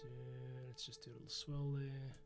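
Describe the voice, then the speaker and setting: A man's voice: medium pitch, flat delivery, moderate pace, over music. A man thinking aloud as a livestreaming composer, casual and self-critical, with muttered asides. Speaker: composer livestreaming his work.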